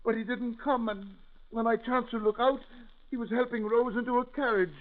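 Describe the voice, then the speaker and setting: Worried old uncle in a radio play, high fretful voice, lots of pleading, tinny vintage sound. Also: male voice, steady tone, brisk. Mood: frightened, despairing. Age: older. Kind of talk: old-time radio drama acting.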